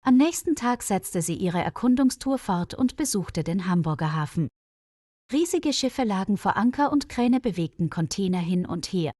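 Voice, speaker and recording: A woman's voice: medium pitch, animated, normal speed, darkish tone, dry recording. Woman narrator, dry close studio sound. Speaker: narrator of German-learning stories. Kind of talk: scripted narration of a learner's audio story.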